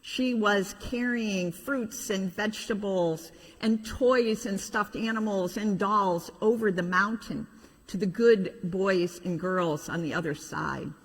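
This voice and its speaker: A middle-aged woman, a pastor telling a children's story in an animated way with informal asides. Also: mid-range voice, slow. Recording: reverberant hall.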